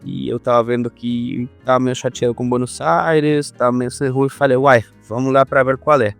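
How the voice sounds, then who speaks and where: A man in his thirties from Argentina, a podcast guest speaking Portuguese with an Argentine Spanish accent, telling his story in a relaxed, rambling way. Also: mid-range voice, normal speed, gravelly, faint music behind. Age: 30s. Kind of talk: casual interview talk.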